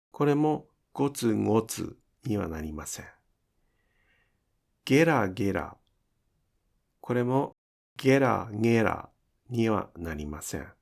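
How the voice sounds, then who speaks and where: Slow-paced male pronunciation teacher, slightly creaky. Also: mid-range voice, darkish tone. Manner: deliberate, careful enunciation with demonstrated example words. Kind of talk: instructional lecture for language learners.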